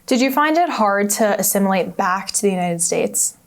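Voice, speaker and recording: A woman's voice: mid-range voice, expressive, normal speed. Host, woman; brief, interested interview questions.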